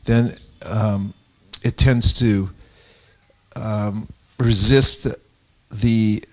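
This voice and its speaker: A man speaking as a religious teacher in an informal group discussion, explaining his thoughts in a halting, reflective way. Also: lowish voice, steady tone, a little mellow. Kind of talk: informal spiritual discourse.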